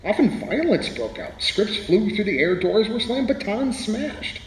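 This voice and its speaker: A man's voice: high voice, big pitch swings, a little slow, full character voice. A man playing a wry, literary playwright reminiscing as an interview guest in a radio drama, with hesitant starts. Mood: dramatic. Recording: echoey hall, faint background noise.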